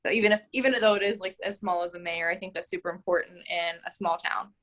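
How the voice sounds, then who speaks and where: A young woman in her twenties with a lowish voice, talking casually in an interview. She fills her answers with "like" and "um" and laughs easily, sounding open and chatty. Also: fairly even intonation, quick pace, a bit bright. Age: 20s. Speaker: mayoral candidate.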